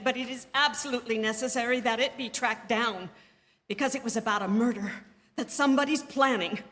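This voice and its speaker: Radio play role: agitated, demanding married woman, high-pitched and exclamatory, long hall reverb. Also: pushed and bright. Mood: urgent, flustered. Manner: agitated, insistent, haughty, exclaiming. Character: anxious, imperious, demanding married woman on the telephone. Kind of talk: stage radio drama acting. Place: theatre stage before an audience. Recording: live theatre stage with long reverb.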